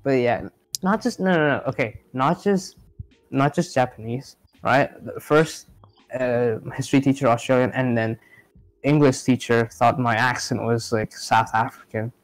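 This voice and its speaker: A man's voice: mid-range voice, normal speed, dry recording, low-quality recording. A young man co-hosting a casual podcast chat, rambling with many fillers and restarts. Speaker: podcast co-host.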